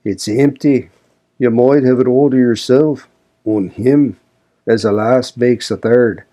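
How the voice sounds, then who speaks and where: A man's voice: medium pitch, takes it slowly, dark tone, natural voice. A man voicing a grumbling, pious old manservant who scolds in a broad rustic dialect. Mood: sneering. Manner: scolding, preachy.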